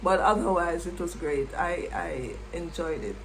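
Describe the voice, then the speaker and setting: A middle-aged woman, a former English teacher, reflecting on her past in an unhurried way and repeating words as she thinks. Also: on the low side, a little hiss or hum, rough recording. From Jamaica. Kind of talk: reflective personal storytelling.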